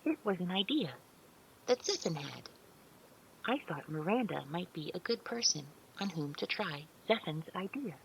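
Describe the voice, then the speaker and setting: A woman's voice: fairly low pitch, sing-song, moderate pace, creaky, a little hiss or hum, rough recording. A woman playing a polite, childlike artificial intelligence in an audio drama, speaking earnestly with precise, formal, literal wording. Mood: innocent, concerned.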